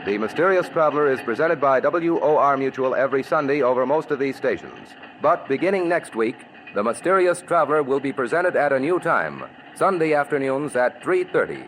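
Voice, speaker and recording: Man, radio announcer, formal, lively delivery, faint noise, old broadcast. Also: mid-range voice, fairly quick, low-quality recording.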